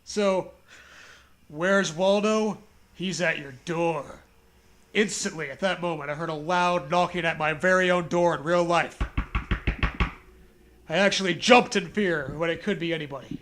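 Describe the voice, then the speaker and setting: Guy w a pretty high voice reading a dumb scary story for laughs, jokey and loose. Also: a little slow, pauses often, fairly bright tone. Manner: casual, joking, prone to laughter. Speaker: co-host reading a story aloud. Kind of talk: comedic horror story read-aloud with joking banter.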